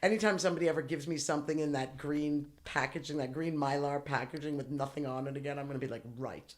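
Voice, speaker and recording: A woman's voice: deep voice, fast. A woman guest on a podcast, telling stories in casual chat with lots of swearing and laughter. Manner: profane, laughing, animated storyteller.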